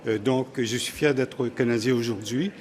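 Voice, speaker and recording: A man's voice: medium pitch, steady tone, normal speed, a little hiss or hum. A man from Haiti, a community leader, giving an earnest statement at a press conference.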